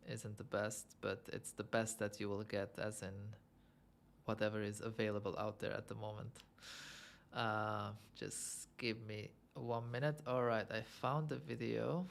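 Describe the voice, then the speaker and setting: A man's voice: fairly low pitch, gravelly, a little hiss or hum. A young man from Lebanon hosting a casual livestream, answering viewer questions in a chatty, self-amused way and thinking aloud with many fillers. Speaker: livestream host.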